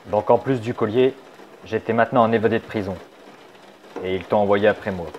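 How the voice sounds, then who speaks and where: A man voicing a sly, wisecracking young male fox in a fan dub of a comic, wry and teasing, turning soft and confiding. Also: lowish voice, fairly quick, dull audio, slight background noise, lightly acted.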